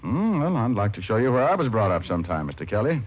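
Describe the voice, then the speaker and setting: Casting: wry hard-boiled private detective, lowish voice, old radio sound. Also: male voice, sing-song, quick pace. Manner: dry, sardonic. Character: wisecracking city private detective, dry and tough. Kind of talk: 1950s radio acting, first-person narration and dialogue. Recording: muffled vintage radio recording, music behind.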